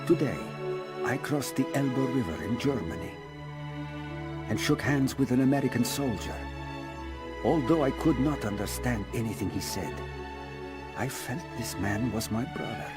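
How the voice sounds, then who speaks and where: Soft, weary Soviet soldier, acted by a man. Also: medium pitch, lively intonation, normal speed, long pauses, loud music behind the voice, close to an everyday voice. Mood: soft, reflective. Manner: soft, reflective. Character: weary, tender young Soviet soldier writing home. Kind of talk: letter read as voice-over.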